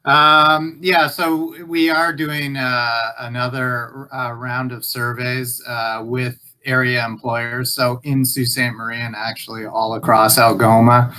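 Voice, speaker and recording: A man giving an informal update on a conference call in a halting, hesitant way. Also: medium pitch, little pitch movement, sharp tone, low-quality recording. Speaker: local employer survey presenter. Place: group video call.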